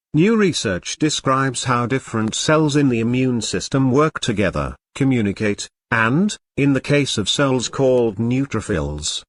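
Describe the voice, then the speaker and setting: Man, formal scripted reading, measured delivery, average pitch, no room echo. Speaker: narrator of read-aloud test practice passages. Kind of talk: scripted read-aloud narration.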